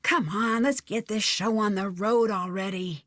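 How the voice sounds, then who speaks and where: Young female game detective, curious and wry, playful sing-song, slow pace, dry studio sound. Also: medium pitch, fairly even intonation, fairly bright tone, no room sound. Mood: impatient. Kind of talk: video game voice acting.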